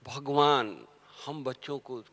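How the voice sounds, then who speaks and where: A man giving a devotional sermon, telling stories and asking rhetorical questions, his voice swinging between soft and loud. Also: mid-range voice, takes it slowly. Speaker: spiritual teacher. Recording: long hall reverb.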